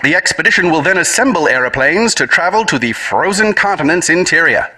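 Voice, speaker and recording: A man playing a 1930s radio news announcer who reads bulletins in a brisk, formal manner. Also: fairly high pitch, expressive, bright, forceful tone, slightly rough, heavily acted.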